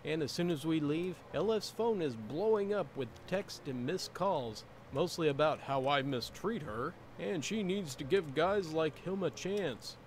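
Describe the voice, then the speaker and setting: A man's voice: fairly high pitch, expressive, unhurried, few pauses, slight background noise. A man narrating online stories in a casual first-person style, in a video played with music underneath.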